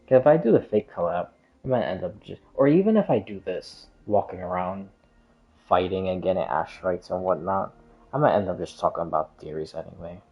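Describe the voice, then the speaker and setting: A man's voice: low voice, animated, a little fast. A man livestreaming games, chatting casually in a hesitant, meandering, repetitive way. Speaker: game livestreamer. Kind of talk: casual rambling stream chat. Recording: dull, muffled lo-fi stream audio.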